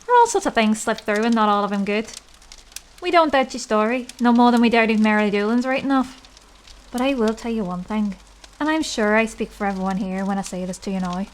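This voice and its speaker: A woman's voice: on the high side, fairly quick, natural voice. A woman playing an earnest villager in an audio drama, speaking in a folksy, emphatic, warning manner. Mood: ominous warning. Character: earnest, foreboding Irish village storytelling woman. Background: music.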